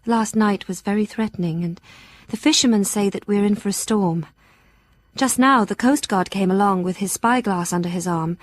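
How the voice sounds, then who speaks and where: A woman's voice: mid-range voice, no room sound, close to an everyday voice. A woman playing an anxious, devoted young Victorian woman, confiding earnestly in her journal for an audio drama. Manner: earnest, formal, sighing.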